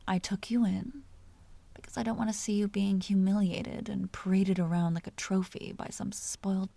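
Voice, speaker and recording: A mellow, husky vampire duchess, voiced by a woman murmurs close and dry, often slipping into a breathy whisper. She is imperious and possessive, teasing with a tender streak, in the manner of an intimate audio drama. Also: medium pitch, no echo. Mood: tender, firm. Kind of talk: intimate scripted audio roleplay.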